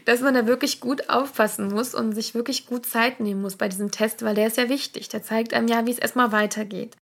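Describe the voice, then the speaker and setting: A woman's voice: on the high side, steady tone, fairly quick, slight background noise. A woman giving a slightly rambling critique of a book.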